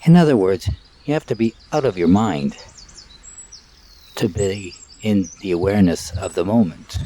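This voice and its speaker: A man leading a live guided meditation in a calm, measured way, in short phrases with long pauses, outdoors. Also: low voice. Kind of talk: live guided meditation, spoken softly and unscripted. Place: outdoors by water and trees.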